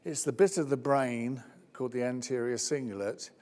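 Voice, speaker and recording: A man's voice: normal speed, darkish tone. A man presenting a conference workshop, chatty and jokey as he answers questions from the audience. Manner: jokey, chatty, jumps to high squeaky voices when acting out others. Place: conference hall. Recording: long reverb of a large hall.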